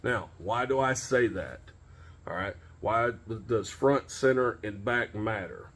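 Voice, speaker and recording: A man's voice: fairly low pitch, slow pace, a bit bright. A man in his forties giving an informal, plain-spoken instructional demonstration outdoors, repeating key points for emphasis. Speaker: host of CB radio tips videos. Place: outdoors in a home driveway beside a pickup truck.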